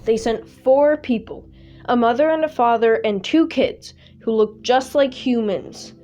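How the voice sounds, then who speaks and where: A woman's voice: a little high, measured pace, a little mellow, close to an everyday voice. A woman playing an earnest, frightened young boy in a radio play. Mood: earnest, uneasy. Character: earnest, frightened young boy who believes comic stories.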